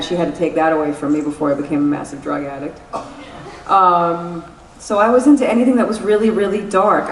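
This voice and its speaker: A woman with a New York accent tells stories candidly, laughing and swearing as she goes. Her voice sits a little low, and it sounds roomy and slightly distant, with faint noise in the background. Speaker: guest actress. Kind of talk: casual interview at a convention. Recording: echoey convention room, mic at a distance.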